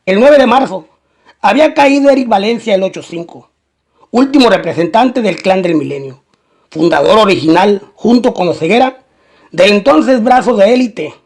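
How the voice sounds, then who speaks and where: High-pitched man narrating. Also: lively intonation, moderate pace. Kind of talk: scripted documentary-style crime narration.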